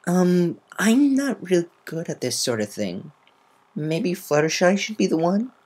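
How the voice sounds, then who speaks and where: A performer voicing a tough, guarded young female cartoon pegasus pony with an awkward, halting manner. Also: medium pitch, very animated, darkish tone, pitched above the performer's own voice. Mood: uneasy.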